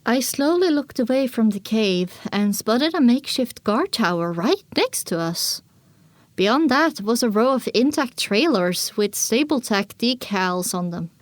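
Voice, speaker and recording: A woman narrates a scripted story in the first person. Her voice sits a little high, and the sound is dry, with no room around it. The reading is dramatic, in audiobook style. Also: no echo.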